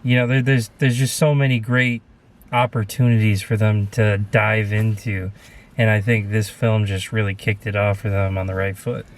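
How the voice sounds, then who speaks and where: A man's voice: lowish voice, flat delivery, moderate pace. A man in a casual film review chat, agreeable and sometimes searching for his words.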